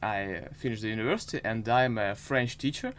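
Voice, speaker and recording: A man in his twenties with a Ukrainian accent hosting a casual interview in hesitant, halting English. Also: fairly low pitch, a bit bright, slight background noise. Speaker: podcast host, interviewer.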